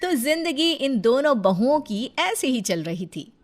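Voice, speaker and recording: A woman's voice: sits high, big pitch swings, measured pace, faint music behind. A woman narrating a playful cartoon story in a conversational way, addressing listeners directly.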